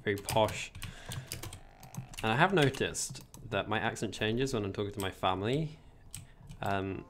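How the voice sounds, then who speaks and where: Young English male streamer, low gravelly voice, chatty, faint background hiss and keyboard clicks. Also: lively intonation, measured pace, long pauses. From England. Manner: chatty, rambling, reads chat aloud. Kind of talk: casual livestream chat while gaming.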